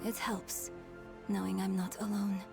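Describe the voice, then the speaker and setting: A woman's voice: medium pitch, little pitch movement, unhurried, gravelly, loud music behind the voice. An actress playing an earnest, doubting young woman, a loyal companion with a hesitant, sincere manner, in a fantasy game. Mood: vulnerable, sincere. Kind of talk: dark fantasy video game acting.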